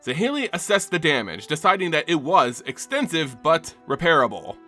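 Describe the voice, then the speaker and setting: A man with a fairly high, lively voice narrates fantasy lore in a dramatic, scripted style. His pitch moves around a lot as he tells it like an expressive storyteller. Soft music plays behind him. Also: normal speed. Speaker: host of a fantasy game lore channel.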